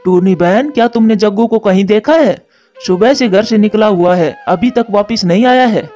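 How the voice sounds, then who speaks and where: A man's voice: high voice, normal speed, dark tone, close to an everyday voice. A man voicing an earnest, admonishing crow, a concerned father in a cartoon. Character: male crow, concerned scolding father and husband. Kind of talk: Hindi cartoon voice acting. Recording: muffled narrow-band audio over music.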